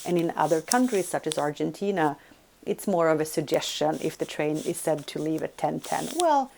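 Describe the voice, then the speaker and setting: A woman's voice: low-pitched, expressive, moderate pace, creaky, a little mellow, a little hiss or hum. A woman from Sweden, giving a recorded college lecture and checking in with listeners by asking "right?". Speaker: business communication lecturer.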